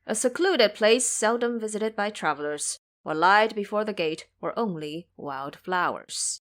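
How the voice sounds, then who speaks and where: A woman's voice: mid-range voice, expressive, unhurried, fairly bright tone. A woman reading scripted narration for an audio drama adventure story.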